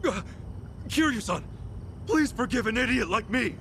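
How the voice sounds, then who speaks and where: A man playing a brash, earnest, excitable gangster hero in a video game cutscene, expressive and slangy, moving between mutters and outbursts. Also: very high voice, normal speed, a little hiss or hum, full character voice. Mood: grateful. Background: music.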